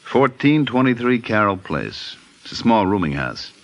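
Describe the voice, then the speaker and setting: An actor playing a shifty, hard-up gambling private detective in a 1950s radio drama, brusque and slangy. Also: male voice, on the low side, very animated, lo-fi sound. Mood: businesslike, shifty. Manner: slangy, brusque, fast-talking hustler.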